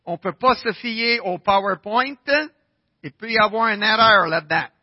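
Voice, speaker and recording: A man's voice: sits high, normal speed, dry recording, lo-fi sound. A pastor preaching in French with an English-Canadian accent, his first language English, who talks to the congregation with rhetorical questions. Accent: English-speaking Canadian. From Winnipeg, Canada. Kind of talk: sermon.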